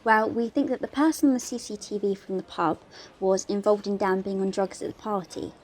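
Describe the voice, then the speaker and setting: A woman's voice: mid-range voice, steady tone, brisk, darkish tone, a little hiss or hum, lightly acted. A woman playing an earnest police investigation assistant in an audio drama, reporting in a conversational way. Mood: earnest, speculative.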